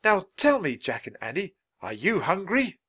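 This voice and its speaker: A man voicing a kindly, indignant English gentleman author in a storybook, with grand, emphatic speech and a theatrical manner. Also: sits high, wildly swooping pitch, normal speed, breathy edge, voice pushed up, heavily acted. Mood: warm, expansive.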